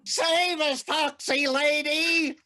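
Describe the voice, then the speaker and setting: Slow, fussy elderly cartoon frog. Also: male voice, high-pitched, very animated, pushed and bright, heavily acted. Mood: alarmed. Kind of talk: animated cartoon table read.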